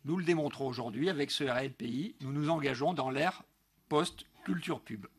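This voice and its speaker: A man's voice: mid-range voice, moderate pace, husky. A middle-aged man delivering a prepared political speech at a council meeting in a formal, emphatic manner, stumbling now and then as he reads. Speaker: local elected councillor. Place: large council meeting room, indoors. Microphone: gooseneck desk microphone.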